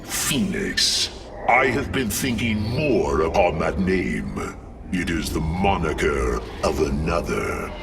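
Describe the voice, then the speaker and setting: A man playing a huge, stoic, proud robotic warrior in a video game, with a gravelly voice and formal, measured speech. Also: big pitch swings, recorded from a distance, music in the background, heavily acted. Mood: solemn. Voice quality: very deep, gravelly. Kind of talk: video game cutscene acting.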